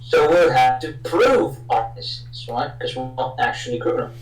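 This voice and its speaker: A man's voice: medium pitch, lively intonation, normal speed, faint music behind. A man playing a tabletop roleplaying game, talking casually in character, a bit away from the microphone in an echoing room. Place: indoor room, group game livestream. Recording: echoey room, slightly distant from mic.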